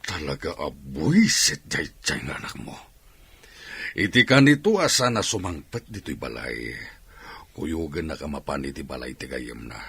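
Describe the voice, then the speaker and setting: An actor playing a stern, domineering Ilocano father in a radio drama, husky and exasperated, with forceful outbursts. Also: very deep voice, big pitch swings, normal speed, dry recording, full character voice. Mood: angry, exasperated. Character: angry domineering father, businessman, scolding about his daughter.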